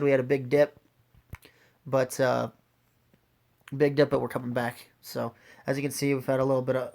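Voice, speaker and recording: A man giving a casual, unscripted video walkthrough, his voice raspy as it recovers from being lost, speaking informally straight to viewers. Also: medium pitch. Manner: informal, addresses viewers directly. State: recovering from a lost voice, occasional throat clearing. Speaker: host of an investing video series.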